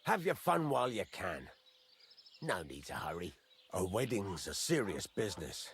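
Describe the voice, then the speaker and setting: Gruff middle-aged blacksmith, gravelly, lively pitch swings, blunt, folksy, fatherly, medieval game dialogue. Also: normal speed, slight background noise, exaggerated voice. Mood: teasing, advising. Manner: blunt, folksy, swears mildly, teasing. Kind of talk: video game dialogue acting.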